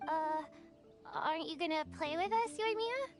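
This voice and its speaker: Very high-voiced little child. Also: female voice, normal speed, over music. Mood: hopeful. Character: shy, hopeful little child wanting to play. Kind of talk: video game voice acting.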